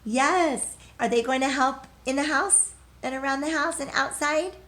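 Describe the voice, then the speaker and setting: A woman's voice: high voice, measured pace, fairly bright tone. A woman teacher leading a read-aloud lesson for young children in simple, encouraging language, asking questions and answering them herself.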